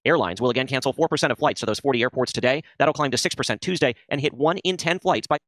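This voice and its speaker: A man's voice: medium pitch, fast, a bit bright, no echo. A man narrating a scripted news report as a correspondent.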